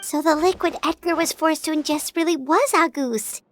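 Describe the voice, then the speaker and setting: A woman voicing a small, excitable fairy companion in a video game, asking eager questions. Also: high voice, slight background noise, lightly acted. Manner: eager, exclamatory, quick questions.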